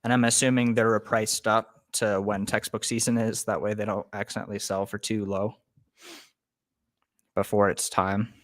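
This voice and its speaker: A man's voice: fairly low pitch, moderate pace, dry recording. Laid-back young man hosting a live stream. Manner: relaxed, many ums, greets chat viewers. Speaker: livestream host. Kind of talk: casual live stream chat. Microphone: webcam setup.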